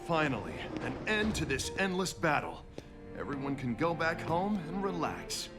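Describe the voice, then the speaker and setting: A man's voice: fairly high pitch, sing-song, moderate pace. A man voicing a proud, blunt male echidna resistance commander in a video game. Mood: relieved. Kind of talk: video game cutscene acting. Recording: loud music behind the voice.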